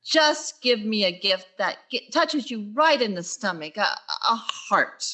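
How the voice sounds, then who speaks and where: An actress playing a wry, quick-witted high school English teacher in 1940s radio sitcom style, with dry sarcastic asides. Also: female voice, expressive, sharp tone. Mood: sweetly sarcastic.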